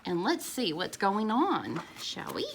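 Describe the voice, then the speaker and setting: A woman reading a picture book aloud to young children in a theatrical, expressive storytime style with drawn-out words and sound effects. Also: medium pitch, vocal fry, slight background noise.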